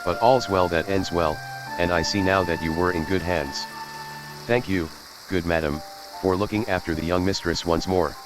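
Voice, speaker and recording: A man's voice: low voice, steady tone, normal speed, close to an everyday voice, low-quality recording. An actor playing a dutiful, caring male retainer in a video game, speaking with formal deference. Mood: courteous, reassuring. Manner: deferential, formal servant's address. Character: dutiful, concerned male retainer of noble house. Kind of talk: scripted video game dialogue. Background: game music.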